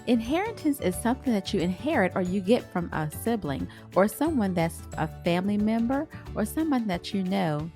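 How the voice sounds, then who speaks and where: A woman's voice: mid-range voice, sing-song, normal speed, hardly any pauses, a little mellow. A woman reading a children's storybook aloud expressively, explaining things to young listeners like a teacher. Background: background music.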